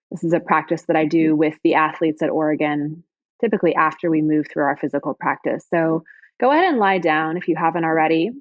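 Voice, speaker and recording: Female meditation guide, lower register, soothing and unhurried, very dry and somewhat muffled lo-fi sound. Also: dry recording. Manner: soothing, gentle, unhurried phrasing with pauses. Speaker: yoga teacher guiding meditation. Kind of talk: guided body scan meditation.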